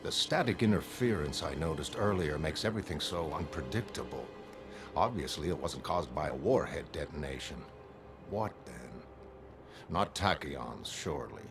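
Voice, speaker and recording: A man voicing a detached, coolly curious godlike superhuman in a measured, analytical, emotionless way for a motion comic. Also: rumbling low. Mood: detached wonder. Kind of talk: dramatic motion comic narration.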